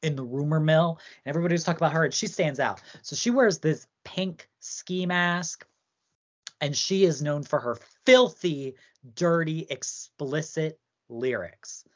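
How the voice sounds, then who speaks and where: Low-voiced chatty woman podcaster. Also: measured pace, dull audio. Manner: chatty, rambling, heavy use of "like". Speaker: podcast co-host. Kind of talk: casual chat about song contests.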